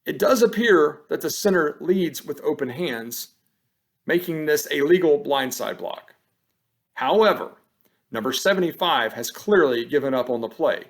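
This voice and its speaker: A man narrates a training film as an instructor would. His voice sits a little high for a man. He speaks in short, measured, matter-of-fact statements, yet his intonation rises and falls in a lively way. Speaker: football officiating instructor. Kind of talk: scripted instructional narration over game film.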